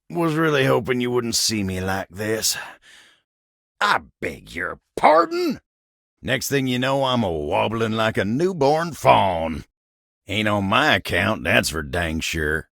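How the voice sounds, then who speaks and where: Game role: earnest, kindly country feller, gravel-voiced, unhurried American Southern delivery, recorded very dry. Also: male voice, on the low side, fairly bright tone, no echo, heavily acted. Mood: sheepish, defensive. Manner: folksy drawl, humble and earnest. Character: gentle, folksy living table, cowboy-like helper. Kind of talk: video game character voice acting.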